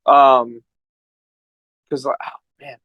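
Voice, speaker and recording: A man's voice: mid-range voice, little pitch movement, takes it slowly, dry recording, muffled recording. A man chatting casually as a podcast guest, telling stories in a rambling, enthusiastic way. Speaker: podcast guest and amateur voice actor. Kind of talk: casual chat.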